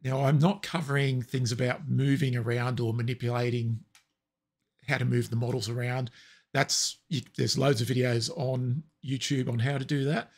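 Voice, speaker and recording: A man with a raspy voice explaining software steps in a relaxed, step-by-step tutorial. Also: mid-range voice, normal speed. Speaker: tutorial presenter. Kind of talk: screen-recorded software tutorial.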